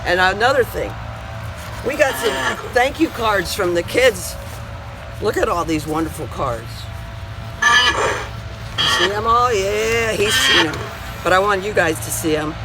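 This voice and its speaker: A man's voice: high-pitched, lively intonation, a little slow, pauses often, over loud music, low-quality recording. A man hosting a storytime for young children, chatting and reading aloud in a folksy way. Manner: folksy, repeats questions, talks aloud to companion. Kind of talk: casual chat to young children and picture-book read-aloud.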